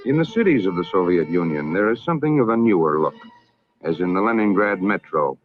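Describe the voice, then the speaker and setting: An American man narrating a scripted 1960s public information film in a formal, measured, emphatic manner. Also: low-pitched, lively intonation. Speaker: documentary narrator. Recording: narrow-band vintage film soundtrack, music behind.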